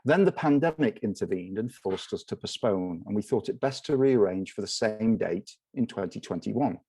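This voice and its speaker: A man with a fairly low, mellow voice reads a formal introduction for a guest speaker. He is measured and slows down over titles. The sound is dry and close. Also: animated, husky, dry recording, rough recording. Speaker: event host introducing a lecturer.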